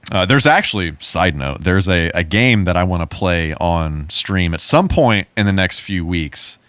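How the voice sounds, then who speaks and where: A man's voice: low-pitched, lively intonation, a little slow, fairly bright tone, clean tone, no echo. A man, talking casually and informally as a podcast co-host. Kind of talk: casual chat.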